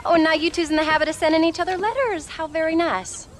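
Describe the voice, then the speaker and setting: An actress playing a sharp-tongued, proud frontier wife and mother with an American frontier drawl, in a video game. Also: extremely high pitch, fast talker. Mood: suspicious, needling. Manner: sassy, teasing. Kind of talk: video game dramatic dialogue.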